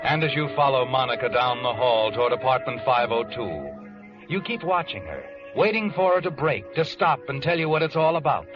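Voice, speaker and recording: An actor playing a knowing, mysterious narrator, telling a 1940s radio mystery in the second person with dramatic menace. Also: male voice, mid-range voice, lively intonation, brisk, lightly acted. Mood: ominous, knowing. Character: omniscient mysterious narrator taunting the protagonist. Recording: dull vintage radio recording. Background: dramatic music.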